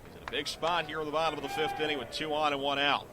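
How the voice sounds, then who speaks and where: Higher-pitched male baseball play-by-play announcer. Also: very fast, background noise. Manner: clipped descriptive phrasing, rising to excited calls. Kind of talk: live radio sports commentary.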